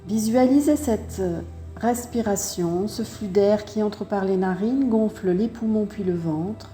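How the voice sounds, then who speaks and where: A woman teaching yoga, guiding breath and posture step by step in a calm, measured way with pauses. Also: medium pitch, echoey room, soft background music. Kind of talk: guided yoga and breathing instruction.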